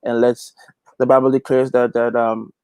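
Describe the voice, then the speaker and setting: A man's voice: mid-range voice, level tone, moderate pace, darkish tone, smooth, no echo. Earnest man, close dry sound. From Guyana. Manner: earnest, emphatic, repeats phrases for emphasis. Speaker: interview guest, gospel reggae singer and youth pastor. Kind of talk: conversational interview, preaching-like testimony.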